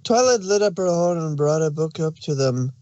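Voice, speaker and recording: Higher-pitched man narrating a story. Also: animated, moderate pace, warm tone, dry recording, dull audio.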